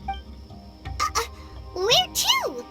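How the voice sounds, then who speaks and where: A woman voicing a tiny, plucky childlike fairy companion in an anime-style video game, with a squeaky, exclaiming delivery. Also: huge pitch swings, extremely slow, over loud music, heavily acted. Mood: puzzled. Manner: speaks of self in third person, exclaiming.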